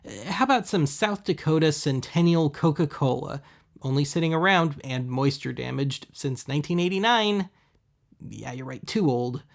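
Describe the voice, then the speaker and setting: A man with a slightly high, noticeably creaky voice delivers casual narration as the host of a shopping video. His commentary is dry and sarcastic, sounding scripted but conversational. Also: moderate pace. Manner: wry, sarcastic.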